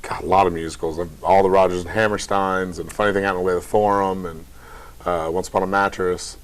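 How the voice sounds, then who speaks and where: A young man from Kentucky with a very deep voice, chatting casually in a TV interview as a theater director. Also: fast talker, rough recording. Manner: relaxed, chatty, light laughs.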